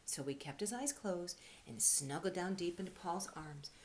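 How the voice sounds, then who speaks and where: A woman giving an expressive read-aloud for children as a storyteller and teacher. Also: fairly low pitch, moderate pace, slight background noise.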